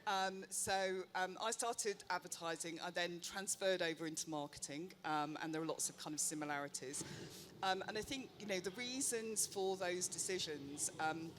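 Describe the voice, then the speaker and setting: A woman's voice: fairly low pitch, moderate pace, a bit bright. Woman executive, conversational panelist, faint hiss in the room. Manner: chatty, self-deprecating, frequent ums. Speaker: panel speaker, chief executive. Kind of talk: live panel discussion. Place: conference stage. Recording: faint noise, live event ambience.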